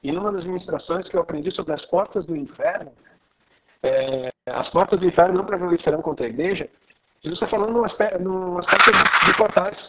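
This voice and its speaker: A pastor from southern Brazil with a Gaúcho accent, teaching with excitement in a live video call conversation. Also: male voice, sits high, fairly quick, a little hiss or hum. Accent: Gaúcho Brazilian Portuguese. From Rio Grande do Sul, Brazil. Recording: tinny phone-like line.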